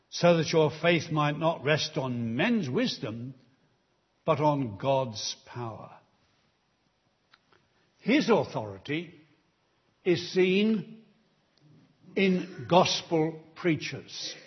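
A man preaches slowly and emphatically, his fairly high voice rising and falling widely as he builds his appeals. The tone is a little husky. The recording is dull and lo-fi, as if taken in a church. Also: expressive. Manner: slow, emphatic pulpit delivery with rising appeals. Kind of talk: sermon.

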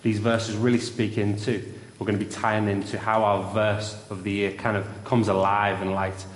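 A young man preaching and telling a story in a church, with a loud voice. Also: on the low side, flat delivery, normal speed. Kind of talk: sermon with story. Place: church hall. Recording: roomy church with long reverb.

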